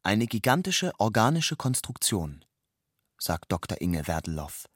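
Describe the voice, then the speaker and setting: Male narrator, low register, measured literary delivery, very dry recorded sound. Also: sing-song, fairly bright tone, husky, dry recording. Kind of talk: literary narration, quoting and storytelling.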